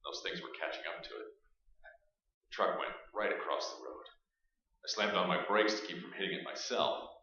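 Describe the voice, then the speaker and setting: Guy playing tough take-charge survivor in radio horror play, very sharp edgy voice, blunt, big echo, dull lo-fi sound. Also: sits low, animated, moderate pace. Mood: grim recounting. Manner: urgent, blunt. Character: resolute, commanding male survivor of monster siege. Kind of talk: staged radio play acting. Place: live stage performance. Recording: distant, very echoey, muffled stage recording.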